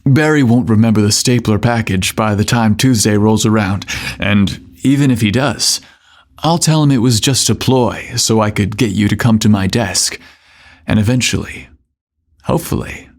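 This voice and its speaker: A man voicing a confident, tender office hunk in love in an audio romance story, seductive and deliberate. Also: lowish voice, creaky.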